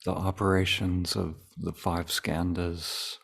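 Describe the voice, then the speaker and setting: Very slow, low-voiced man guiding meditation. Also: vocal fry. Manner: calm, deliberate, long pauses between short phrases. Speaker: meditation teacher leading a guided meditation. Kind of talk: guided meditation.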